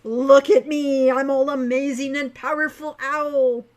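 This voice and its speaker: Woman as mocking teenage boy, high voice, melodramatic, taunting, excitable, storybook character. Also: measured pace. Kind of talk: audiobook character voice.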